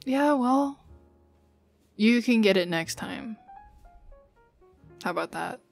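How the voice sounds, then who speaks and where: A woman's voice: on the high side, lively intonation, slow pace, gravelly, soft background music, exaggerated voice. A woman acting out one side of a casual girlfriend roleplay as a chatty, teasing young woman, informal and a little bossy. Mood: generous, casual. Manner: informal, teasing, giggly, swearing. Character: playful, chatty, bossy young woman on a dinner date with an old friend.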